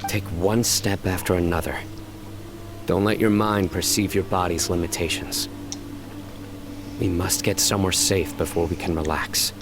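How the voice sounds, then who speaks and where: An actor voicing a stern young male desert warrior-judge in a game, grave, measured and clipped. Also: sits low, fairly even intonation, over music. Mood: steady. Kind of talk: game voice acting. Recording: game audio with noise.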